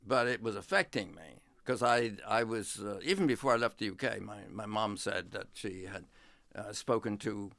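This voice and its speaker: Older male academic guest, unhurried anecdotes, dry studio sound. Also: fairly low pitch, creaky, a bit bright, no echo. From England. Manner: unhurried, reflective storytelling with many pauses. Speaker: history professor, guest. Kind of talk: relaxed interview talk.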